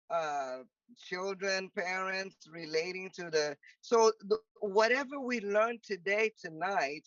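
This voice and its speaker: A woman's voice: sits low, dry recording, far from the mic. Woman hosting a muffled video call. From Democratic Republic of Congo. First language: French. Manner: chatty, upbeat, many fillers. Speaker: host. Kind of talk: moderating an online panel.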